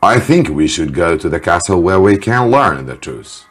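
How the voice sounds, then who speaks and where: A man's voice: deep voice, very animated, normal speed, a bit bright, husky, faint music behind, full character voice. A man voicing a cautious, insistent henchman advising his master in an audiobook adventure.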